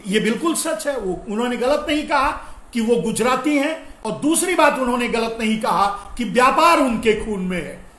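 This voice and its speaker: A man's voice: extremely high pitch, expressive, moderate pace, a bit bright. A man giving opinionated political commentary to viewers, emphatic, repeating his phrases and asking rhetorical questions. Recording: echoey room.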